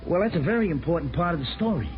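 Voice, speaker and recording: An actor playing a meek henpecked husband, a reformed crook, with hesitant stammering speech in 1950s radio drama style. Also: male voice, on the high side, very animated, racing, over loud music. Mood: chatty, reminiscing. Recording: muffled, noisy 1950s radio recording.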